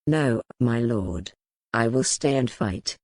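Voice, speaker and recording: A man's voice: mid-range voice, lively intonation, slow pace, a little mellow, no echo, clean studio sound. A man playing a grim, loyal medieval man-at-arms in an audiobook, formal and sober as he addresses his lord. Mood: grim, dutiful. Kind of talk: dramatized audiobook dialogue.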